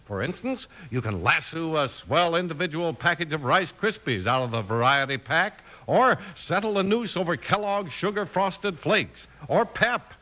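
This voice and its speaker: American male announcer, 1950s radio style, upbeat pitchman, fairly high, piercingly bright, punchy delivery. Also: animated, normal speed, hardly any pauses.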